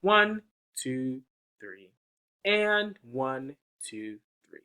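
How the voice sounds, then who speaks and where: Man, picture-book read-aloud for kids, very slow and sing-song, bright tone. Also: mid-range voice. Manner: slow, sing-song, coaxing questions to young listeners. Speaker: teacher reading aloud.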